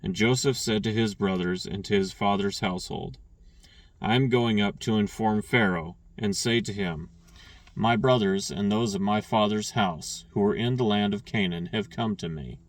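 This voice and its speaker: A man reading scripture aloud, stumbling and restarting on names and clearing his throat now and then. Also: lowish voice, moderate pace, far from the mic. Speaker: scripture reader.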